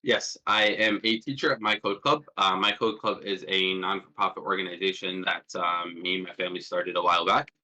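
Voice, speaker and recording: Low-pitched young man presenting, bright voice. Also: fairly even intonation, moderate pace, hardly any pauses, poor audio. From born in Jordan, lived in Palestine as a child. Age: 20s. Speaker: workshop presenter, student and threat hunter. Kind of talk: informal slide presentation in an online workshop. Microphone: online call with push-to-talk.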